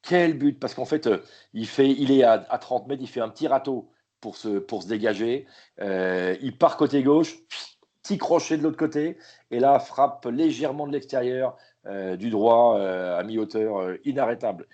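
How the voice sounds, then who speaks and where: A man guesting as a football commentator in a casual chat, retelling moments with animated, repetitive enthusiasm. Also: medium pitch, normal speed. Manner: animated, repeats words as he thinks. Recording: dull lo-fi remote line.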